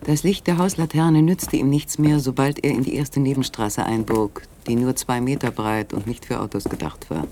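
Man, scripted literary narration, medium pitch, dramatic pauses, suspenseful, faint background noise. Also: dark tone.

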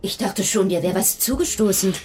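A woman's voice: mid-range voice, moderate pace, a little mellow, slight background noise, natural voice. A nervous, evasive showgirl, played by a woman. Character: nervous, evasive female stage performer. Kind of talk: German radio drama acting.

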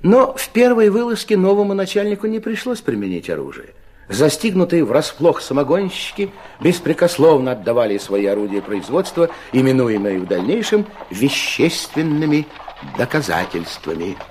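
A man's voice: on the high side, very animated, normal speed, darkish tone, slight background noise. A man narrating a literary story as a scripted drama narrator, with wry irony.

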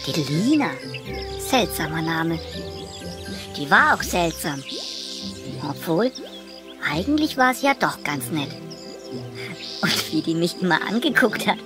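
A woman's voice: fairly low pitch, sing-song, measured pace, long pauses, over music. A woman voicing a clumsy, proud, lovesick young boy mouse who mutters to himself in a children's audio play. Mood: wistful, puzzled.

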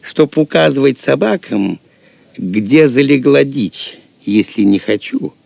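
An actor playing a sly, impudent medieval jester in an audio drama, teasing with mock politeness. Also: male voice, medium pitch, expressive, takes it slowly, gravelly, darkish tone, no echo, exaggerated voice. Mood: sly, smug. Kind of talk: theatrical audio drama acting.

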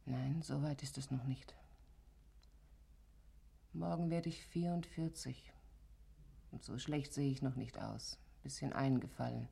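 Husky, brittle, anxious wife. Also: female voice, rumbling low, fairly even intonation, unhurried, long pauses, dark tone, full character voice. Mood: brooding, afraid. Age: 40s. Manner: brittle, suspicious, brooding. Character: anxious, guilt-ridden village wife in her forties. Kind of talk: German radio play acting.

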